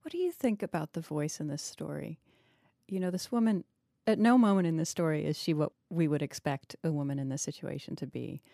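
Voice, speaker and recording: A woman with a low, soft-toned voice hosts a thoughtful discussion of fiction. She is analytical and likes probing questions. The recording is close and dry. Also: fairly quick, vocal fry, no echo. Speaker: podcast host interviewing an author.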